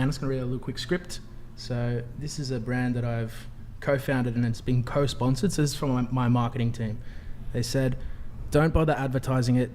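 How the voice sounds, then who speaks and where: A man's voice: mid-range voice, darkish tone, slight background noise. A man in his thirties, a panel guest playfully reading out an ad script for his own brand. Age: 30s. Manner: playful salesman, jokey read of an ad script.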